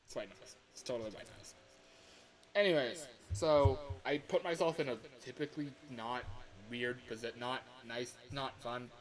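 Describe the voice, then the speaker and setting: A man's voice: medium pitch, moderate pace, rough recording. A man livestreaming in a casual, rambling way, sounding exasperated and self-mocking, and swearing freely. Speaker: livestreamer recording voice lines.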